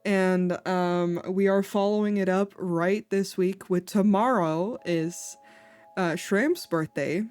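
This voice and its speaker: Slow-talking, congested woman streamer. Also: mid-range voice, darkish tone, smooth, dry recording, soft background music. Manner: slow, chatty, giggly. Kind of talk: casual chat with viewers. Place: home.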